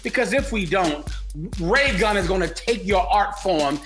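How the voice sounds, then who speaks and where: A man's voice: high-pitched, expressive, measured pace, fairly bright tone, faint music behind. A man co-hosting a casual commentary chat, speaking in a preachy, sermon-like way. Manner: sermon-like, religious talk.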